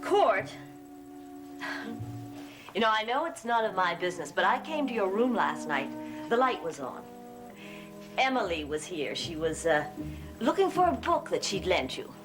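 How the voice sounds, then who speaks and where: An actress as an anxious, genteel middle-aged mother in an old gothic TV soap. She is polite but fretful and hesitant, with very expressive pitch and music behind her. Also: normal speed, pauses often, close to an everyday voice. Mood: worried, reproving. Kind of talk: gothic soap opera acting.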